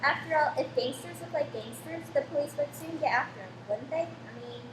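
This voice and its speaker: A woman acting an eager, chatty young boy in a radio play, naive and excitable. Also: sits high, brisk, natural voice. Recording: echoey room with clear noise.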